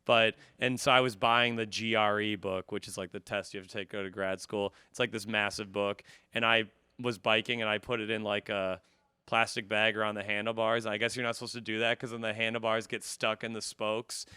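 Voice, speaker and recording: A man's voice: lowish voice, flat delivery, fairly quick, sharp tone, clean tone, no room sound, studio quality. A man telling self-deprecating comic stories as a podcast guest in casual, profane talk, often acting out dialogue. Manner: laughs through his stories, acts out dialogue. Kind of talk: animated comedic storytelling, casual chat.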